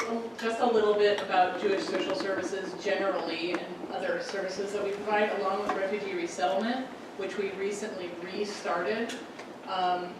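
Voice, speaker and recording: A woman with a fairly low voice opens a panel discussion in a conversational tone, using frequent fillers. She sounds far from the microphone, and the room echoes heavily. Steady background noise sits under her voice. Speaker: panel moderator and resettlement coordinator. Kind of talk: panel talk. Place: full lecture room. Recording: echoey room from a distant mic.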